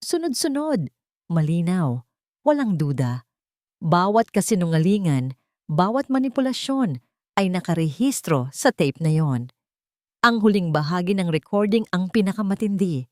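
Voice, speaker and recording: A woman's voice: lowish voice, sing-song, moderate pace, long pauses, darkish tone, dry recording, studio quality. A woman delivering dramatic scripted story narration.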